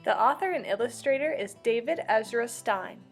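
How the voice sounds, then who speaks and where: A woman's voice: on the high side, animated, moderate pace, faint music behind. A woman narrating a children's read-aloud like a teacher, giving instructions and asking questions.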